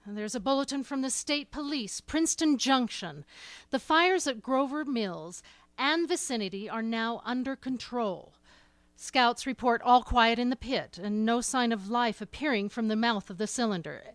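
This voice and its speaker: An actress playing a flustered radio news announcer who reads urgent bulletins in a formal, halting way. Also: female voice, on the high side, no echo, close to an everyday voice. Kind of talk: old-time radio news bulletin acting.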